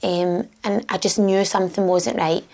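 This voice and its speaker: A Scottish woman in her mid-thirties tells her story plainly and matter-of-factly. A gravelly fry runs through her voice, and she speaks at a middling pitch. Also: steady tone, normal speed, darkish tone. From Scotland. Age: 30s. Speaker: mother of four. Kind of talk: personal testimony.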